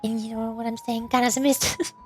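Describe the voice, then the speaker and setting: A woman's voice: fairly high pitch, little pitch movement, a little fast. A woman streamer chatting casually in a playful, teasing way during a livestream. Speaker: VTuber streamer. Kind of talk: casual livestream chat while voice acting game dialogue. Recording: background music. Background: music.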